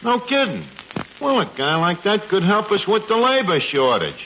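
A man's voice: high-pitched, wildly swooping pitch, moderate pace. An actor playing a cocky, working-class New bar manager who mangles his words with hesitant, slangy talk in a 1940s radio comedy. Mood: breezy, wry. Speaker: lead comic actor in a radio sitcom. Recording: muffled, noisy old radio broadcast, audience.

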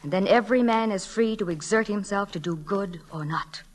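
A woman's voice: medium pitch, brisk, close to an everyday voice. A woman playing a strict but caring schoolteacher in a 1940s radio drama, speaking earnestly with formal emphasis. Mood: earnest, firm. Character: stern but caring, principled female schoolteacher.

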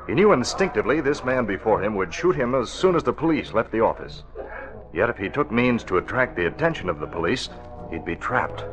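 A man narrating a 1940s radio drama as its announcer, in a dramatic, measured scripted delivery. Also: medium pitch, animated.

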